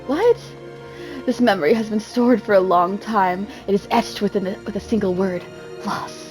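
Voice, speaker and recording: A woman reads a story aloud over soft music in a mid-range voice. She plays up the drama theatrically, then drops into casual, self-mocking asides as an amateur reader. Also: medium pitch, moderate pace, rough recording.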